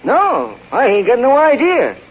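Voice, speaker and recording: A very high-pitched tipsy, simple-minded sidekick, full of folksy brag. The voice swoops about in old radio-play style, over a dull, noisy 1940s recording. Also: male voice, huge pitch swings, a little slow, husky, full character voice. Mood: tipsy, befuddled. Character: boastful, slow-witted, hard-drinking fishing-boat sidekick.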